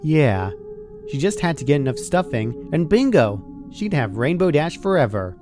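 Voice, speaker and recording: A man's voice: a little high, sing-song, normal speed, music in the background. A man reading scripted horror fan fiction aloud as the narrator.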